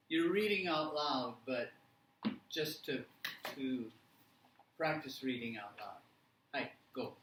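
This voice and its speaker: A man presenting an interactive workshop for teachers, speaking haltingly and emphatically with frequent pauses and check questions. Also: a little high, fairly bright tone. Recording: roomy, distant mic, faint noise.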